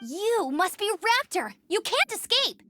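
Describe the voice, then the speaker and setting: Casting: spirited, defiant young outrider knight in an anime game; very high voice, animated delivery. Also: female voice, measured pace, heavily acted. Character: plucky, determined young knight outrider girl, gliding champion. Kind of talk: anime-style video game voice acting.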